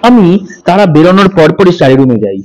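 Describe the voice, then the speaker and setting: Man with a high voice, earnest first-person horror narrator, stops to fix his own slips. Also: sing-song, brisk, darkish tone, poor audio. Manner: earnest, with small self-corrections.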